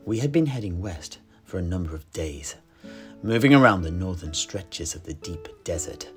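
A man telling a fantasy tale in character, theatrical and measured, with dramatic pauses and stresses. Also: sits low, expressive. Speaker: storyteller, in-character tavern keeper. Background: plucked-string music.

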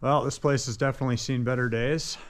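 A man's voice: medium pitch, quick pace. A man in his thirties, chatting casually and jokily as he explores an abandoned building outdoors, filmed on an action camera. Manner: relaxed, chatty, jokey. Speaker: vlogger. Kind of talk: casual exploring vlog chat.

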